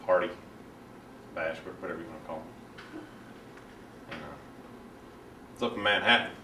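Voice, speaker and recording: A man performing a dramatic monologue as a self-righteous, resentful young man, telling his story in a halting, colloquial, confiding way. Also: sits low. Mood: casual, confiding. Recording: echoey room, distant mic, faint noise.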